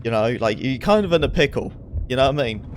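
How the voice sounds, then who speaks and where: A man's voice: medium pitch, lively intonation, quick pace, slight background noise. A young man, a game streamer, chatting casually and rambling with lots of fillers while he plays. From England. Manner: rambling, with many fillers and acted asides. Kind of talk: casual rambling chat while gaming live. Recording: game gunfire loud behind voice. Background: video game gunfire and vehicles.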